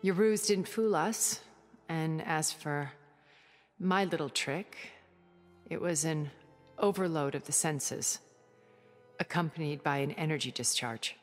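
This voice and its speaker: An actress playing a poised, cultured immortal woman in a video game cutscene, speaking formally and persuasively with measured, condescending gentility. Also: low-pitched. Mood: smooth, composed. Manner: formal, genteel, measured phrasing. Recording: background music under the dialogue.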